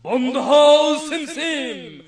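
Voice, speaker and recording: A man voicing a commanding cartoon bandit with drawn-out calls, as if chanting magic orders. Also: extremely high pitch, full character voice. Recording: distant voice with clear background noise.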